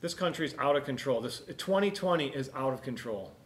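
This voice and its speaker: A man's voice: mid-range voice, expressive, brisk. A man co-hosting a casual, opinionated call-in talk show, making his points emphatically and repeating them. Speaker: talk show co-host taking calls.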